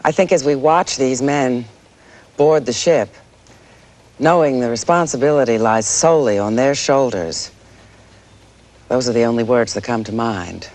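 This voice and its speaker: A man playing a solemn news commentator, earnest and deliberate in live crisis coverage. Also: mid-range voice, darkish tone, lightly acted. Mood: solemn, moved.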